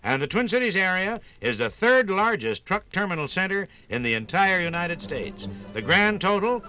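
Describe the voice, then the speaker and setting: A male radio announcer from the 1950s reads scripted narration with polish and emphasis. His voice is fairly high and forceful with a very lively melody, and some music plays along. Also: normal speed, smooth. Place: radio studio.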